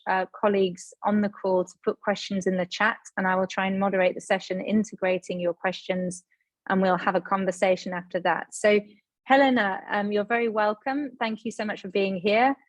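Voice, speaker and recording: A woman's voice: medium pitch, steady tone, moderate pace, no echo. A woman moderating an online panel, introducing guests in a friendly, organized way with frequent ums.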